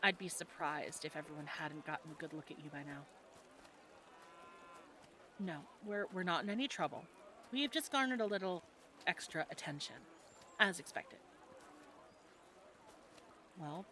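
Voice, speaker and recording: A woman playing a friendly, teasing medieval fantasy tavern local in an audio roleplay, talking in a conversational, gently instructive way to a newcomer. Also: fairly low pitch, lively intonation, a little slow, pauses often, noisy background. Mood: guiding. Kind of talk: audio roleplay acting, adventure ASMR.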